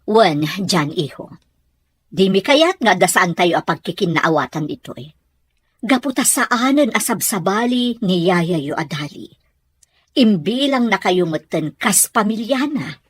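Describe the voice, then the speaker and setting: A woman's voice: medium pitch, expressive, unhurried, breathy edge, dry recording. A woman with a Filipino accent playing a patient, worried middle-aged mother in a family audio drama. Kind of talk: amateur audio drama acting.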